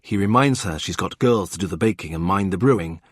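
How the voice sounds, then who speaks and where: A man narrating a historical novel audiobook in a calm, even storytelling manner. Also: deep voice, brisk, no echo, studio quality. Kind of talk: scripted narration.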